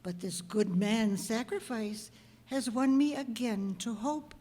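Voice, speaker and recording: A messenger of God passing judgment in a radio play. The voice is fairly high and very slow, grand and archaic, with long reverb around it. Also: female voice, warm tone. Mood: grave. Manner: solemn, grand, archaic pronouncement. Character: stern heavenly messenger of God pronouncing doom. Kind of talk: old-time radio drama acting. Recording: echoey hall with long reverb.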